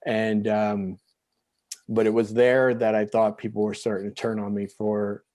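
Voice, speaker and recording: A man in his forties telling his own life story as an interview guest, halting, with frequent restarts and fillers. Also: on the low side, level tone, a little mellow. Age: 40s. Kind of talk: candid personal storytelling.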